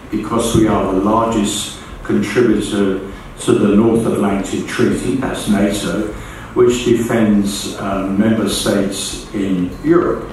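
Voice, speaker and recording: An older man from the United Kingdom giving a formal speech at a podium in a large school auditorium, reading from notes at a measured pace. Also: fairly low pitch, a little mellow, a little hiss or hum. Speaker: guest speaker. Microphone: podium mic from far camera. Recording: echoey hall, far from mic.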